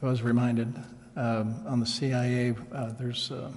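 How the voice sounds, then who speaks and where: Council guy asking stuff, halting n tentative, pretty monotone, reverby room. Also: medium pitch, a little hiss or hum. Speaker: council member. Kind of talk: questioning at a public meeting. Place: indoor council chamber.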